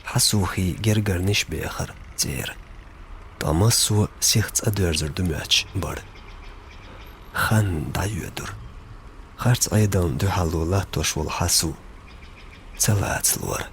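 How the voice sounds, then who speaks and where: A man's voice: deep voice, slow pace, pauses often, fairly bright tone, slight background noise. A man, a native Chechen speaker, narrating a film from a script.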